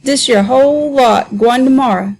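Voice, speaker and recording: A boisterous grown man who teases everyone in a drawling, mocking voice, as a woman reading the story puts him on. He talks noticeably higher and louder than the narration around him. His manner is broad and cheeky throughout. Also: normal speed, breathy edge. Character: boisterous teasing grown man, a loud joker.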